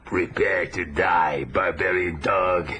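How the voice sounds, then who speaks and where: A man's voice: low voice, steady tone, takes it slowly, slight background noise, heavily acted. A man playing a snarling savage pit brute. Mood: menacing. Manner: snarling, menacing. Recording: old drama recording over loud music.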